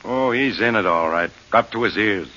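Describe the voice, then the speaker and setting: A man's voice: on the low side, normal speed, bright, forceful tone. An actor playing a brusque, irritable police inspector in a 1940s radio drama, snapping his words out. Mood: exasperated. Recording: muffled old radio broadcast, faint hiss.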